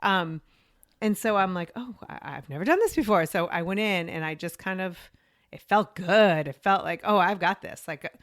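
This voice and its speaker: A woman in her forties, a podcast guest, telling her career story in a chatty, animated way and acting out what others said to her. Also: fairly low pitch, moderate pace, no room sound, studio quality. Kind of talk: conversational interview storytelling.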